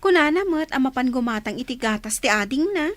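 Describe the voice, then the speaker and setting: A woman's voice: high voice, animated, brisk, no room sound. A woman acting a chatty, nosy village auntie who serves customers in a radio comedy drama. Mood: curious.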